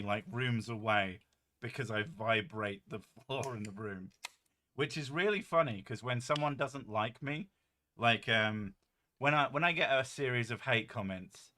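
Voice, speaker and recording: A man with a deep, boomy voice hosting a casual stream, joking and rambling with a few curses, his mic set well above his head. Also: bright, forceful tone. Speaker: streamer host. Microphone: desk mic set well above his head.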